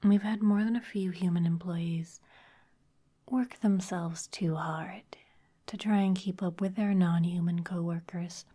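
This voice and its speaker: Woman doing a teasing vampire exec, low husky whisper, dark soft tone, right on the mic. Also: fairly even intonation, measured pace. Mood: smooth, managerial. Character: sly, intimidating female vampire executive. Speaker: ASMR performer. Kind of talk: whispered ASMR roleplay.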